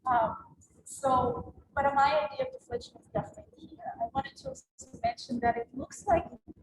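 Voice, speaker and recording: A woman's voice: sits high, sing-song, brisk, vocal fry. A woman whose first language is Russian, talking as an exhibiting artist about her installation in a hesitant, reflective way with frequent small laughs. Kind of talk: gallery artist talk. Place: gallery exhibition space. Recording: echoey gallery with faint room noise.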